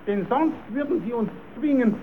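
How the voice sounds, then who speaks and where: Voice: medium pitch, normal speed, natural voice. A performer playing a cheeky puppet clown who stammers as he spins tall tales for children in a German radio play. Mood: explanatory. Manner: stammering, repeating himself, improvising tall tales. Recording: tinny, narrowband, hissy old radio recording.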